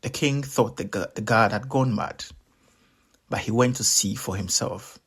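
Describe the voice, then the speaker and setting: A man narrates a children's story with dramatic, deliberate phrasing. His voice is gravelly and husky, and he takes his time with each phrase. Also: lowish voice, fairly bright tone. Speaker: storyteller reading aloud.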